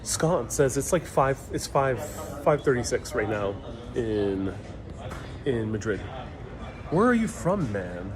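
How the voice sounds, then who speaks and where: A man's voice: medium pitch, big pitch swings, slow pace. Easygoing man streaming from a crowded street. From New York City. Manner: easygoing, joking, thinks aloud with fillers. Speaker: travel livestream host. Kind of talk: casual live Q&A, reading viewer comments aloud. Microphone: phone livestream mic. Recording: crowd noise around a phone stream. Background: crowd chatter.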